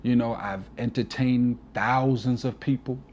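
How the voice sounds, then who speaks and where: A man's voice: mid-range voice, fairly even intonation, unhurried, darkish tone, dull audio, a little hiss or hum. A man in his forties, a DJ guest on an interview, talking earnestly and from the heart, often adding "you know". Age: 40s. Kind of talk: casual reflective interview talk.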